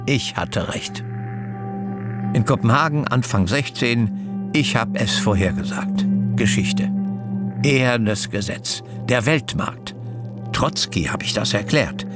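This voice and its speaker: An actor playing a fervent, grandiose socialist agitator who declaims in fragmented slogans in a German radio play. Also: male voice, medium pitch, lively intonation, slow pace, pauses often. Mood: grandiose, obsessive. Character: fervent socialist agitator recalling his triumphs, grandiose, obsessive. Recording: studio radio play with loud music.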